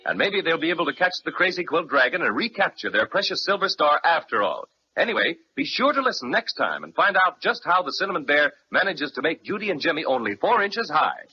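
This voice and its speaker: Casting: male vintage radio serial announcer, lively swooping delivery, music underneath, dull old recording. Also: on the high side, a little fast. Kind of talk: old-time children's radio narration.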